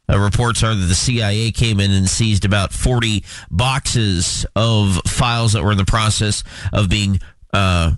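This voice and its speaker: A man with a somewhat low voice hosts a talk show, moving from news updates to a guest interview. His delivery is measured, dotted with "uh" fillers. The sound is dry and close, with no room behind it. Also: dry recording. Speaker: host.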